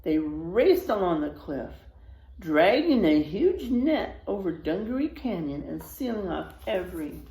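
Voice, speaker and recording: A woman reading a children's story aloud expressively, pausing for asides to her young listeners. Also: lowish voice, sing-song, dark tone, roomy sound.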